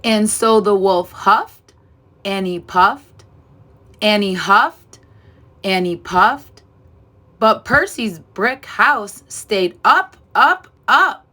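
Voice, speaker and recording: A woman reads a children's tale aloud in an expressive teacherly style. Her voice sits at a medium pitch, and she takes her time over the words. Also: drawn-out words, pauses often. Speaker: storybook reader, teacher. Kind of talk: expressive children's story narration.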